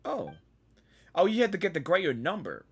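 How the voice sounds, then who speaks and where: A woman gamer giving casual, excitable reaction commentary, often saying "like" and "dude". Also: on the low side, moderate pace, husky. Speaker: gamer reacting to a game on camera.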